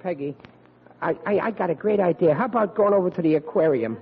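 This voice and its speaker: A man's voice: high voice, quick pace, natural voice. An actor playing a brash, insecure teenage boy with blustering, slangy speech in old-time radio comedy style. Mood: flustered. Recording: dull, lo-fi vintage radio recording.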